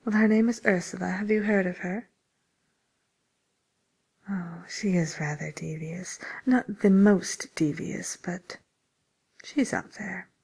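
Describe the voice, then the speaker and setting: A polite, attentive matchmaker for the wicked, played by a woman in an ASMR fantasy roleplay. She speaks in a hushed, very breathy whisper, slightly low and slow. Her delivery is gentle and hesitant, like courteous customer service, caught close to the microphone. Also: heavily acted. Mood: wryly approving.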